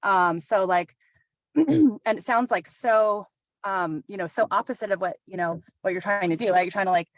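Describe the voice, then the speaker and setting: A woman's voice: mid-range voice, moderate pace, dry recording. A woman sharing earnestly with many fillers from a car on a group video call. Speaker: group participant, single mother.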